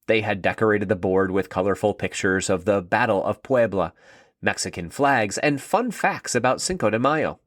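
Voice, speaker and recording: A man narrating a scripted story for children in an expressive read-aloud style. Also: lowish voice, moderate pace, no echo, studio quality.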